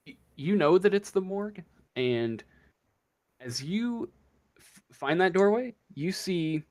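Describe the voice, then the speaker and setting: Slow, halting man running a tabletop game. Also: medium pitch, a little mellow. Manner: halting, searching for words. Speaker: game master. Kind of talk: casual improvised narration of a tabletop game.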